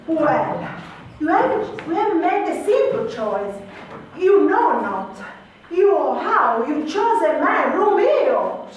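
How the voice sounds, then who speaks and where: A tired old nurse who grumbles and stalls, played by a woman. She speaks in a very high voice and drags out every line. Her voice carries from far off through a heavily echoing room. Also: sing-song, muffled recording, full character voice. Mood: evasive. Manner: drawn-out, grumbling, evasive. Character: weary, rambling old nurse, complaining. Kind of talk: amateur stage performance of a classic play. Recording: echoey, distant, noisy room.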